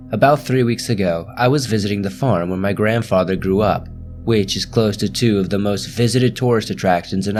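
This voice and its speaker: A man narrates horror stories in a slow, measured, hushed delivery, his voice sitting a little low. Faint music plays quietly beneath the reading. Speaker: host and narrator of a scary story channel. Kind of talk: scripted horror story narration.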